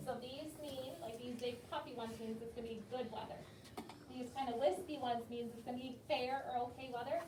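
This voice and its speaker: A woman with a high voice teaches a class of young children. She asks simple questions and echoes answers back in an encouraging way. The sound is roomy and distant, with clear noise around her. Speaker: elementary school teacher leading a class.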